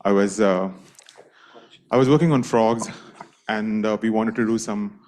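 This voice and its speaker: A man, introducing a lecturer in a hall with a halting, anecdotal story. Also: fairly low pitch, fairly even intonation, a little mellow. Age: 30s. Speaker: session host introducing a speaker. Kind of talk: spoken introduction.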